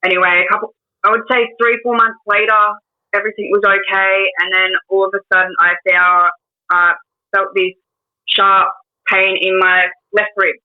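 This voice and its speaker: A woman in her twenties with an Australian accent, a guest telling her life story in a casual interview, hesitant with many pauses and restarts. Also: medium pitch, flat delivery. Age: 20s. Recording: tinny phone-like line.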